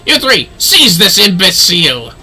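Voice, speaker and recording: A man plays an arrogant fantasy game knight who blusters and barks. The voice is pitched up, very forceful and swings widely, with music behind it. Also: wildly swooping pitch, measured pace, breathy edge, full character voice. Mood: indignant, barking. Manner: loud, haughty, barking. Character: blustering, arrogant male temple knight, bullying and indignant. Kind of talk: video game dialogue read aloud.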